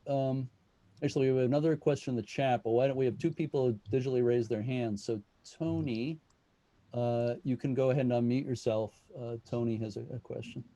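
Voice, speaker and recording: A man's voice: mid-range voice, fairly even intonation, brisk, mellow, no echo, muffled recording. A man casually moderating a question session on a video call as the host. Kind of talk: casual moderating of an online meeting.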